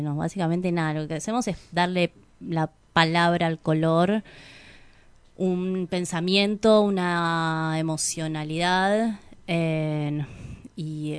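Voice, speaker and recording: A woman with an Argentine accent chatting casually as an interview guest, animated in anecdotes and often correcting herself mid-thought. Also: lowish voice, clean tone, no echo. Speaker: interview guest, artist and singer. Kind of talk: casual chat in a radio interview.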